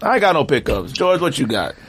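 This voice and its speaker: A man co-hosting a casual podcast, telling stories with exaggerated, joking complaint and a husky voice. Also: mid-range voice, fast, a little hiss or hum. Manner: animated, exaggerated storytelling with mock complaint. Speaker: podcast co-host.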